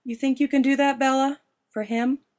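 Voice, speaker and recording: A woman's voice: fairly high pitch, animated, measured pace, darkish tone, pitched above the performer's own voice. A woman reading as a sweet, motherly middle-aged woman with a honeyed, coaxing way of talking. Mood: soothing. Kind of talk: audiobook character dialogue.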